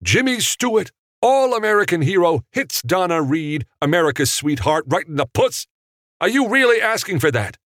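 A man voicing a short, fiery, indignant film director in an audiobook, gruff, snappy and sarcastic. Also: medium pitch, big pitch swings, normal speed, a bit bright, no room sound, clean studio sound, voice pushed up.

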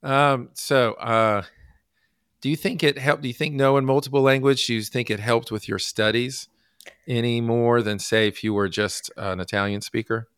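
A man from the United States hosting a casual podcast interview, halting with many fillers and restarts. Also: medium pitch, steady tone, a bit bright, clear, resonant tone, dry recording, studio quality. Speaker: podcast host.

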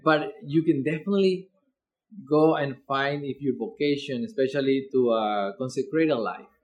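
A man's voice: medium pitch, moderate pace, dull audio. A young man from Ecuador with an Ecuadorian Spanish accent, a seminarian earnestly telling his vocation story with fillers and repeated words.

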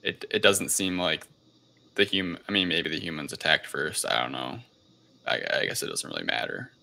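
A man with a very deep voice that still comes across bright and forceful. He talks as a podcast host in casual discussion, hedging and rambling loosely as he recaps a story. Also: normal speed, creaky.

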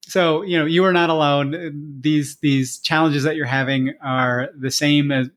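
A man's voice: fairly high pitch, fairly even intonation, unhurried, fairly bright tone. A man hosting a podcast interview in a casual, conversational style, wording his questions in a rambling, wordy way.

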